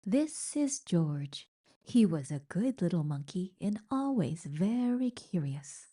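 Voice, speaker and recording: A woman reading a children's picture book aloud in an expressive, deliberate storytelling manner. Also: fairly low pitch, husky. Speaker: storybook reader.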